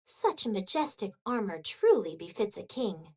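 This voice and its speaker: A woman playing a loyal, formal and dryly vengeful royal retainer in a video game, with measured, courtly diction. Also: mid-range voice, very animated, a little mellow. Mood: haughty, assured.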